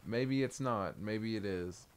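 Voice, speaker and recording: Deep-voiced man in amused casual commentary. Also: takes it slowly, dry recording. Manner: relaxed, amused, rambling with frequent fillers. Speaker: video host reviewing an album.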